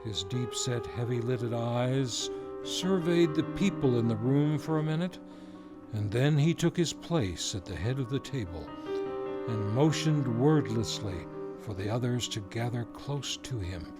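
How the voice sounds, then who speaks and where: Male radio drama narrator, mid-pitched, measured scripted delivery, strong music bed. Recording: loud music under the voice. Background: loud music.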